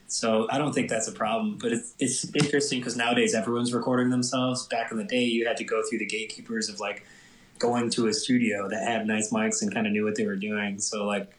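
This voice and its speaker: A man's voice: medium pitch, roomy sound, rough recording. A man, a musician guest, chatting casually and self-mockingly, with lots of restarts. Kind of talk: casual interview chat.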